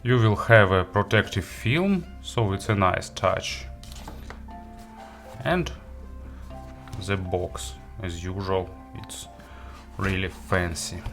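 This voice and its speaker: Slow-talking man, low halting voice. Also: over music. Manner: halting, hesitant, thinking aloud. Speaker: audio gear reviewer. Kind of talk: casual product review and unboxing.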